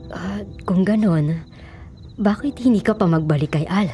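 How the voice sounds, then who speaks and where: An actress playing a caring older mother and grandmother in a Filipino radio drama, counselling in a deliberate, motherly way. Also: mid-range voice, dark tone, soft background music, close to an everyday voice. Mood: caring, approving.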